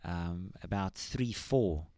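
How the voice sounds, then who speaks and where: Church worship leader, man, very low speaking voice, earnest and reflective, dry close recording. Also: slow pace, mellow, dry recording. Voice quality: high tenor singing voice. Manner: earnest, thoughtful, many ums. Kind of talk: casual church podcast chat.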